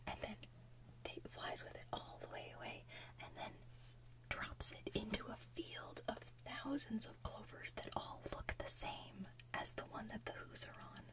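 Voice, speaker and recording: A woman's voice: on the high side, recorded from a distance. A young woman whispering a casual retelling of a children's story, full of "so basically" and "like".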